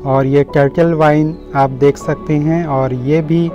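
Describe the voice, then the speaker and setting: A man's voice: a little high, fairly even intonation, takes it slowly. A man gives a casual, explanatory garden tour, addressing viewers in a friendly, direct way. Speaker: host of a home gardening channel. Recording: slightly muffled audio with music underneath. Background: instrumental music.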